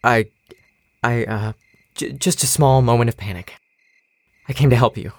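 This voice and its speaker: A man's voice: mid-range voice, normal speed, no room sound, lightly acted. A man playing an anxious, earnest young survivor. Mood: uneasy. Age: young adult. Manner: earnest, self-talking, uneasy. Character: anxious, determined young man in a post-apocalyptic world. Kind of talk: naturalistic audio drama acting.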